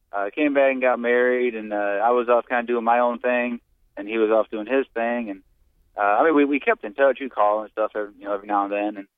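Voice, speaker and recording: An American man calling in to a radio show and reminiscing casually, halting often and repeating words as he talks. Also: mid-range voice, no echo. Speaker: radio call-in listener. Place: on a phone call to a radio studio. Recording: muffled phone-like call-in line.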